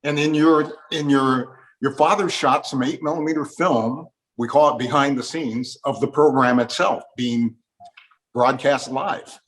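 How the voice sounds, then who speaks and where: A man interviewing guests in a measured, explanatory, conversational way. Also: medium pitch, steady tone, fairly bright tone, low-quality recording.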